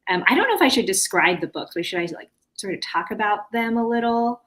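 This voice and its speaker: A woman's voice: medium pitch, lively intonation, quick pace, a bit bright. An American woman from California chatting casually as an interview guest, often interrupting herself as she searches for words. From California, United States.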